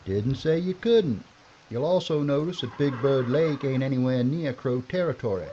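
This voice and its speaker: A man playing a gruff frontier marshal. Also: mid-range voice, normal speed, dark tone, slight background noise, close to an everyday voice. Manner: gruff, sardonic. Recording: dull lo-fi audio.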